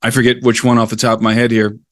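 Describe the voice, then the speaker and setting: Man, middle-aged, podcast guest, digressive storyteller, medium pitch, dry close sound. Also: little pitch movement, fast, no room sound. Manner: digressive, explains history at length. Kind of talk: casual storytelling conversation.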